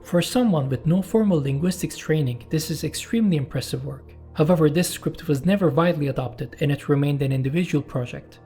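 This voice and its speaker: Man narrating, mellow voice. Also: a little high, faint music behind. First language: Turkish. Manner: measured, explanatory, with personal asides. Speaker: channel host and narrator. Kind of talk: scripted documentary narration.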